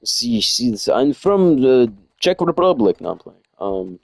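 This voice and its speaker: A man's voice: mid-range voice, sing-song, measured pace, creaky, slight background noise. A young man chatting casually and rambling in slang while streaming a game from home. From United States. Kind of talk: casual chat while playing.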